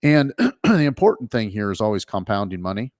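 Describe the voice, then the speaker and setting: Low-voiced man explaining finances. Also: big pitch swings, a little fast, no room sound, clean studio sound. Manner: explanatory, many fillers and restarts. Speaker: financial advisor and show host. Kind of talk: casual radio-show talk.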